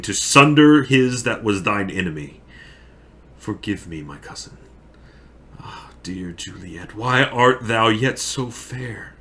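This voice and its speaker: A man playing a grieving, lovesick young tragic hero in a theatrical, solemn Shakespearean monologue with drawn-out phrasing. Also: lowish voice, bright, forceful tone, pitched above the performer's own voice.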